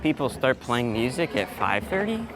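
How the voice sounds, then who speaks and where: Man muttering through work over clear background noise. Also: mid-range voice, big pitch swings, normal speed, a crowd in the background. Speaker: live sound engineer setting up PA gear. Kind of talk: casual running commentary while working. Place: event venue during setup. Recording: noisy on-location vlog audio with clatter.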